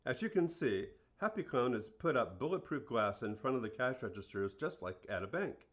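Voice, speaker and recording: An earnest fast-food store manager played by a male actor answers an interviewer in a radio play. He is a plain-spoken man who explains everything in a matter-of-fact way. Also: medium pitch, very animated.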